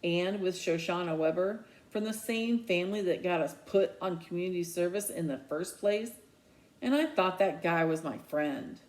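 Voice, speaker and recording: A man's voice: high-pitched, moderate pace, natural voice, rough recording. A woman voicing a resentful, sarcastic middle-school boy in a children's novel read aloud. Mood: indignant, sarcastic. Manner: indignant, sarcastic.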